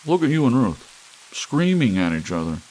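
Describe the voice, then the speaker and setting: A man's voice: medium pitch, sing-song, moderate pace, a little mellow, voice pushed up, low-quality recording. A man voicing an earnest man pressing a friend about dreams and pain, urgent and probing.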